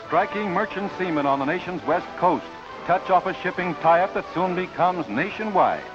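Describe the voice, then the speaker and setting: American male newsreel narrator, 1940s, slightly high voice, declamatory, muffled old soundtrack. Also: expressive, moderate pace. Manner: formal, declamatory announcer delivery. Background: music.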